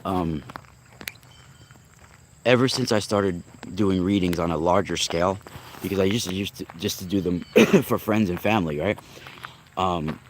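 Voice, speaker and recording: A man's voice: sits low, unhurried, long pauses, a little hiss or hum. A young adult man with a cold and a slightly nasal voice, chatting casually on a livestream as host and spiritual reader, rambling and restarting often. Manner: rambling, restarts often, greets chat viewers. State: congested with a cold, coughing.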